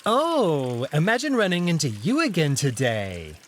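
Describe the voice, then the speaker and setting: A man's voice: a little high, wildly swooping pitch, a little slow, slight background noise, voice pushed up. A man voicing a suave male jackal courtier in a visual novel, with polite, airy small talk that carries a hidden edge. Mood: feigned politeness.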